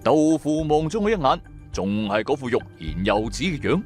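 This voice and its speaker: A man's voice: mid-range voice, sing-song, normal speed, darkish tone, faint music behind. A man with a Cantonese accent narrating a supernatural radio drama in the first person, dramatic and colloquial.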